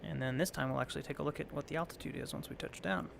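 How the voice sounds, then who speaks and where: A hoarse, raspy middle-aged man calmly talking himself through a flight simulator game, thinking aloud as he flies. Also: medium pitch, very fast, noisy background. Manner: relaxed, thinking aloud while flying. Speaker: flight sim streamer. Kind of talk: casual gameplay commentary.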